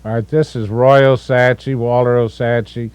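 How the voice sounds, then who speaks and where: A man's voice: mid-range voice, flat delivery, normal speed, darkish tone, smooth, no echo. A man in his sixties from the United States narrates his family's history over home movies, methodically listing names and correcting himself now and then.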